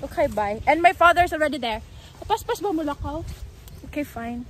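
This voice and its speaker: High-voiced young Filipino woman vlogging outdoors. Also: animated, fairly quick, fairly bright tone, slight background noise. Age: young adult. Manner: code-switches between English and Filipino languages. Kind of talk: casual, spontaneous vlog chat. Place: outdoors on a rural farm. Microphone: phone at arm's length.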